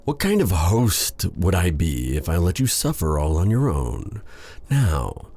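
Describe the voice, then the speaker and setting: A man playing a proud but tender dark sorcerer in an intimate ASMR roleplay drama, speaking with halting, theatrical courtliness in a breathy, gravelly voice. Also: sits low, huge pitch swings, exaggerated voice. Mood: tender, soothing.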